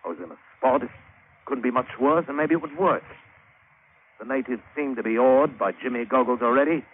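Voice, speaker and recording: A man's voice: medium pitch, a little fast, slightly rough, phone-quality sound, natural voice. A man acting a plain-spoken British sailor who spins a wry adventure yarn in colloquial, dramatic 1950s radio style. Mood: amazed, wry. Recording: thin, hissy old radio broadcast.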